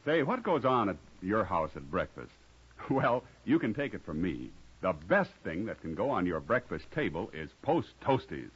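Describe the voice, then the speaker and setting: A man's voice: low-pitched, very animated, normal speed, husky. A man delivering a folksy, emphatic 1950s radio commercial as announcer. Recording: muffled old radio recording.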